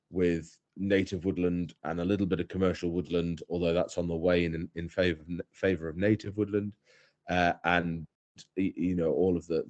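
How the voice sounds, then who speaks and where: A man's voice: deep voice, darkish tone, muffled recording. A young man, a guest on a podcast, talking casually in a thoughtful, hesitant way with many fillers and restarts. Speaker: head stalker, guest.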